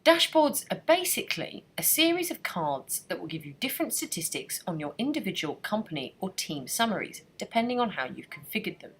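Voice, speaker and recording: A woman narrating a scripted software training screencast in a clear, even instructional manner. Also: medium pitch, brisk, hardly any pauses.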